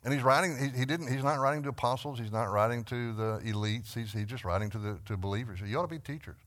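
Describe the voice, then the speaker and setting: A man's voice: medium pitch, fast talker, a bit bright. A man with a Texan accent leading a church Bible class, talking earnestly with frequent restarts and repeated words. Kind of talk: conversational Bible class teaching.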